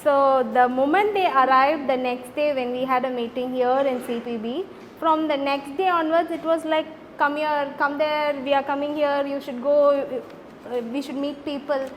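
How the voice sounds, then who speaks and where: High-pitched Indian woman in a reverberant hall. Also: steady tone, normal speed. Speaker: researcher presenting a residency project. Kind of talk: unscripted panel presentation. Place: large indoor event space. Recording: reverberant hall with faint noise.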